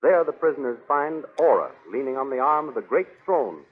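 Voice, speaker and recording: A man's voice: mid-range voice, expressive, a little fast, husky. A man narrating a 1930s radio serial with grandiose, urgent declamation. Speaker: announcer-narrator. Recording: tinny 1930s radio recording. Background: music.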